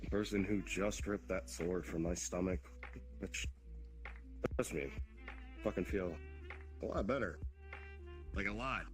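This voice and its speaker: A man's voice: low-pitched, measured pace, pauses often, music in the background. A man speaking casually in character during a voice-chat roleplay, laid-back and rambling. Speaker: roleplayer playing the demon king.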